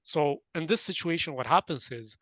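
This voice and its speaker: A man from Ethiopia hosting a casual interview, hesitant with frequent restarts, then bursts of animated laughter. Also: a little high, normal speed, no echo. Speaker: interview host, talent company founder.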